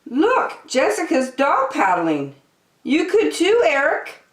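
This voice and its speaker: A woman's voice: high-pitched, huge pitch swings, slow pace, recorded from a distance, pitched above the performer's own voice, exaggerated voice. A woman voicing an encouraging, chirpy mother in a storybook read-aloud, recorded in an echoey room. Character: encouraging, chirpy mother coaxing her child. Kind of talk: picture book read-aloud. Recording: roomy echo.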